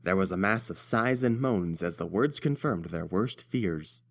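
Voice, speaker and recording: A man's voice: low-pitched, animated, a little slow, gravelly. A man reading scripted story narration as the narrator.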